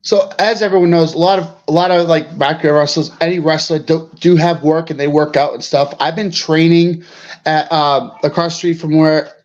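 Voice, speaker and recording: Halting man in his thirties, lo-fi line. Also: a little high, fairly even intonation, darkish tone. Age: 30s. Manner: halting, full of fillers and "and stuff". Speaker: wrestler guest. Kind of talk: casual interview answers. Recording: dull lo-fi line.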